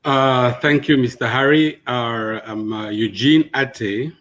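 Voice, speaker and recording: A man, a veterinarian, introducing himself on a panel with steady storytelling and some hesitations. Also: medium pitch, slow, muffled recording. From Cameroon.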